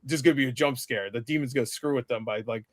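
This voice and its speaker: A man chatting casually as he reviews a movie, animated and quick to swear or act out bits. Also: mid-range voice, no room sound, studio quality. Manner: animated, mimicking characters, swearing.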